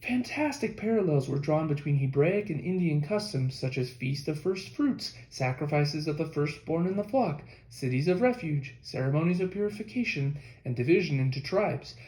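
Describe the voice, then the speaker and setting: Guy with a slightly high voice narrating a history audiobook, roomy echo on it. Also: lively intonation, normal speed, hardly any pauses, darkish tone. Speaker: audiobook reader. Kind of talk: scripted nonfiction narration of a history book. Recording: echoey room.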